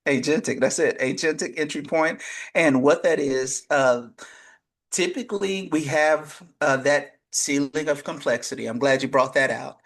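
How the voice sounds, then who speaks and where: A man guesting on a podcast talks in an earnest, explaining way, with a voice pitched a little high for a man. He often restarts his sentences as he builds his point, in the easy tone of a coach. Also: moderate pace. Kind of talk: conversational interview.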